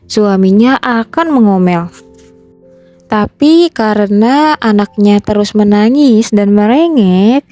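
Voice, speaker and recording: A woman's voice: medium pitch, warm tone, no echo. A woman narrating a scripted children's folktale as a storyteller. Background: background music.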